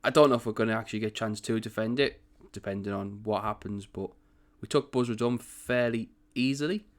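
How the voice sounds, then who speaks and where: A man hosting a strategy game commentary, chatty and rambling, with a croaky morning voice. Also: on the low side, a little fast. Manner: chatty, rambling, self-correcting. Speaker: gaming channel host.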